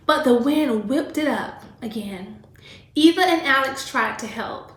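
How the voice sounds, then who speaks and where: Female teacher, fairly high voice, expressive storytime delivery aimed at children, unhurried, recorded in an echoey room. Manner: deliberate, animated storybook delivery, addressing children directly. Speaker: teacher reading aloud to pupils. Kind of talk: expressive read-aloud with teaching asides.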